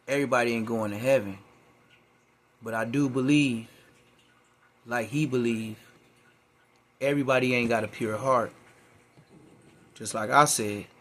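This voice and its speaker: Laid-back slangy man hosting reactions. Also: mid-range voice. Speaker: reaction video host. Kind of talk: casual commentary.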